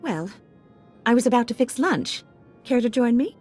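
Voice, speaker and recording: A woman's voice: on the high side, animated, normal speed, a little mellow, faint music behind. A woman playing a polite but guarded wife in a video game. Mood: courteous. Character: polite, wary wife of a crime supplier. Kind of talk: video game dialogue acting.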